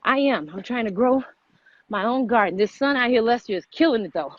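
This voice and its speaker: A woman's voice: fairly high pitch, animated, brisk, dull audio. A woman hosting a casual motivational fitness vlog outdoors, repeating her points as she urges listeners on. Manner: repetitive, exhorting. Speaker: vlog host.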